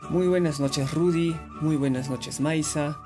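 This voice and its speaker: A man's voice: a little high, fairly even intonation, a little slow, mellow, soft background music. A man with a Peruvian accent hosting a podcast with a prepared, presentational delivery. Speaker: podcast host.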